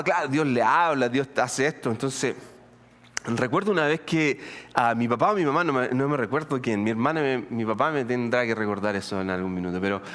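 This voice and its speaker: A middle-aged man with a Colombian accent, preaching a sermon in an animated, humorous way with asides to the congregation. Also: mid-range voice. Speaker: pastor. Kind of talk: sermon with storytelling.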